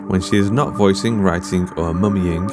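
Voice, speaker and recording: Low-voiced man reading podcast credits. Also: fairly even intonation, normal speed. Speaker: podcast host. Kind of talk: scripted podcast outro and credits. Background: music.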